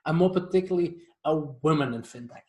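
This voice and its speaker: A man's voice: a little high, quick pace. A man hosting an interview, asking friendly, chatty questions with some fillers. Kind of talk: conversational interview.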